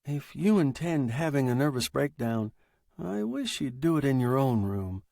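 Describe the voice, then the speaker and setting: A man's voice: mid-range voice, animated, moderate pace, gravelly, dark tone, dry recording, studio quality. A man acting a politely concerned, uneasy male neighbour in a story, with a hesitant manner. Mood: irritated.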